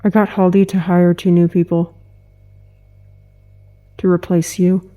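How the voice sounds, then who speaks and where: An actress playing a stiff, guarded security officer in an audio drama, speaking in clipped, deadpan phrases. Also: female voice, lowish voice, a little slow, close to an everyday voice. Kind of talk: naturalistic audio drama acting.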